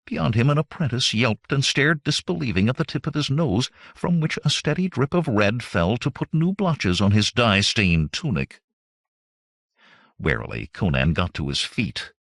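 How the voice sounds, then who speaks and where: A man reading adventure fiction aloud as an audiobook narrator, in measured, expressive storytelling. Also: medium pitch, creaky, no room sound, clean studio sound.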